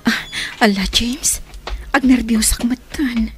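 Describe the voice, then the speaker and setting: A friendly but shy sweetheart in a radio drama. Her voice sits a little high, with faint music behind it. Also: steady tone, slow. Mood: shy, nervous.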